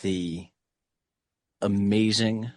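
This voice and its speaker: A man's voice: lowish voice, fairly even intonation, drawn-out words, no room sound, clean studio sound. A man playing a boastful self-styled genius who is also an insecure, stammering fool in a tabletop game. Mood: indignant boasting. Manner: indignant, stammering, self-deprecating.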